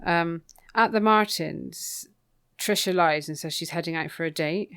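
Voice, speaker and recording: A woman with a fairly low, clean and smooth voice co-hosts a book podcast. She chats casually through the plot with a dry, irreverent edge and swears freely. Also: measured pace, a bit bright. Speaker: podcast co-host. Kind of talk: casual book recap chat.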